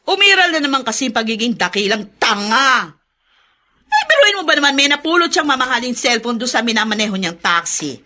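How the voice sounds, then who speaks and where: Casting: a scornful, nagging wife for radio drama, bright high voice with big melodic swings. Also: female voice, sing-song, brisk. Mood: exasperated, scornful. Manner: scornful, scolding. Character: nagging, exasperated money-minded wife of a taxi driver.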